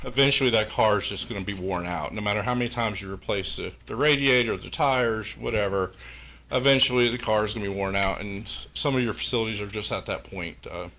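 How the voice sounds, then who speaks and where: Male presenter, booming and forceful, folksy with fillers, slightly low-pitched. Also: a little fast, a little hiss or hum. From Pulaski County, Virginia, USA. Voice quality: big booming voice. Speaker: engineering firm presenter. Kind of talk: presentation to a school board. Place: public meeting room. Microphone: meeting room microphone.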